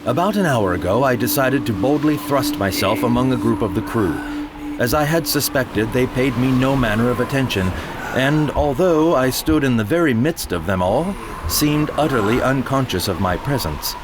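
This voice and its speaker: A man gives a measured, solemn first-person reading of a period sea tale in a one-man audio drama. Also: mid-range voice, expressive, heavy background noise. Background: music.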